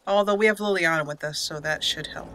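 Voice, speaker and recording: A woman's voice: lowish voice, quick pace, noisy background. A woman hosting a gaming channel, chatting casually and thinking aloud as she plays. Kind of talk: casual let's play commentary. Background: game music.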